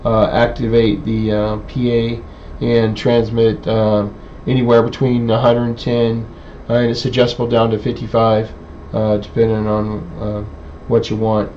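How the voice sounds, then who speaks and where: A man giving an informal technical demonstration in a halting, hesitant way with many pauses. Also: on the low side, completely flat delivery, dark tone. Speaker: presenter, radio hobbyist. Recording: dull, lo-fi sound with faint background noise.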